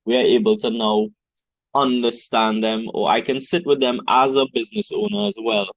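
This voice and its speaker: A man from Trinidad, a business founder speaking as a podcast guest in a calm, earnest way. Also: medium pitch, moderate pace, gravelly, dry recording. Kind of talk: conversational interview. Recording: dull dry lo-fi sound.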